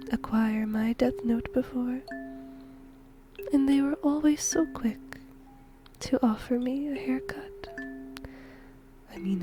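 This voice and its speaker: Woman as a slow, whispering, playful death god. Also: fairly high pitch. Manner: gentle, musing, playful, breathy whispering. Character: whimsical female shinigami death god fond of humans. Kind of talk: whispered ASMR roleplay. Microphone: close microphone.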